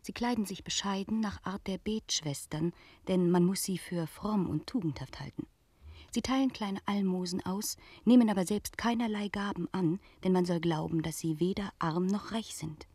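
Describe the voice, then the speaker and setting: An actress playing a proud, vengeful noblewoman in a German radio play, speaking in an imperious, measured and formal way. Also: mid-range voice, warm tone, slightly rough, no echo. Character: proud, vengeful aristocratic lady, coldly commanding.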